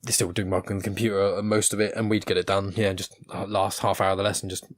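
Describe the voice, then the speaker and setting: A man's voice: low-pitched, flat delivery, quick pace, no echo. A man with an English accent hosting a casual podcast chat in a chatty, rambling, self-deprecating way. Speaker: podcast host.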